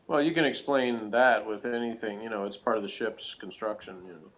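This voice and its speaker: A man's voice: fairly low pitch, fairly quick, a bit bright, lo-fi sound. A man chatting casually as co-host of a TV review, with a slangy, joking, teasing way of talking. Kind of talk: casual chat, TV episode commentary.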